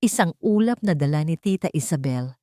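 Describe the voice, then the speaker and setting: A woman's voice: low-pitched, expressive, fairly quick, mellow, dry recording, studio quality. A woman reading a scripted dramatic family story as its narrator.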